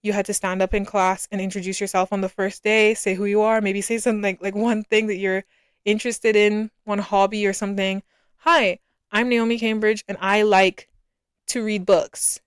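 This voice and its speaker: Casting: woman, early twenties, from Barbados, smooth clear voice, casual reflective podcast host, close dry sound. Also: medium pitch, flat delivery, moderate pace, no room sound.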